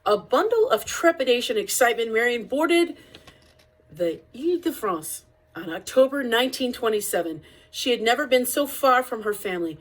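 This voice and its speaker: A woman's voice: on the high side, sing-song, moderate pace, low-quality recording. A woman reading a children's picture-book biography aloud as storytelling narration, with an occasional small stumble.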